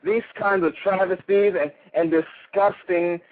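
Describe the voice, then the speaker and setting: A man's voice: high-pitched, steady tone, a little slow. A man with an Italian accent calling in to a radio show, ranting crudely and heatedly with frequent stumbles. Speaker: caller. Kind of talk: call-in radio rant. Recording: tinny phone line.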